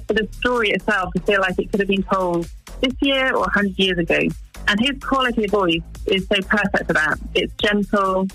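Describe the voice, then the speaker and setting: A woman in her forties who grew up in East Africa, speaking as a wildlife filmmaker in an interview, earnest and pausing to find her words. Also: mid-range voice, bright, forceful tone, low-quality recording. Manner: earnest, searching for words with restarts. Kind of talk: interview guest.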